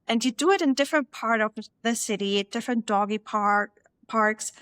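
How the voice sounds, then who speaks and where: A woman with a German accent hosting a podcast interview, chatty and quick to laugh. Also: fairly high pitch, clear, resonant tone, dry recording, clean studio sound. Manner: chatty, warm praise, laughs often. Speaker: podcast host. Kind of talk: casual interview chat.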